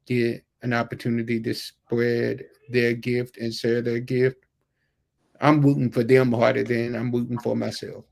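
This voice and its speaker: A man's voice: mid-range voice, little pitch movement, a little slow, creaky, darkish tone. A man hosting an interview, a preacher telling anecdotes in an informal, colloquial way with words repeated for emphasis. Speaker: podcast host, preacher. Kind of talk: casual chat, anecdotal storytelling.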